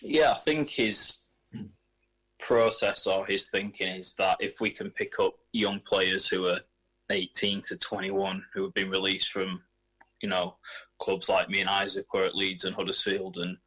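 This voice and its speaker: A man's voice: sits low, little pitch movement, a little slow, pushed and bright, husky, rough recording. A man in his twenties, a footballer, answering questions in a casual radio interview. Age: 20s.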